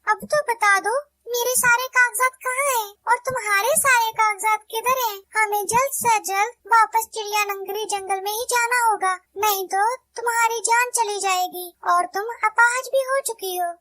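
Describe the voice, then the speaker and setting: A woman's voice: very high voice, fairly even intonation, moderate pace, sharp tone, no room sound. Woman voicing a meek pleading bird maid. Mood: urgent. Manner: pleading, humble, worried. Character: meek put-upon young female bird maid. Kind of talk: cartoon voice acting.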